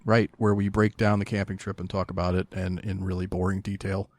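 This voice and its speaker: A man's voice: deep voice, monotone, brisk, no room sound. Man, podcast co-host, dry wisecracks, casual chat, dead-dry close sound. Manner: dry, joking asides.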